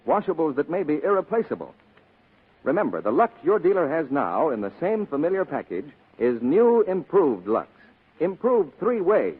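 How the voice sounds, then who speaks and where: Animated American radio announcer, tinny sound. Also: male voice, moderate pace, husky. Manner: emphatic sales pitch. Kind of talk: 1940s scripted radio commercial. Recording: tinny 1940s radio broadcast.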